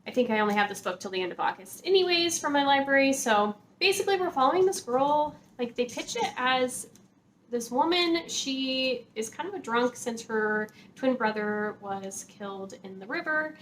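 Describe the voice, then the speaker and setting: A woman's voice: sits high, expressive, moderate pace, fairly bright tone. A woman chatting casually to viewers as a book vlogger, informal and conversational, with lots of filler words. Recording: clear background noise.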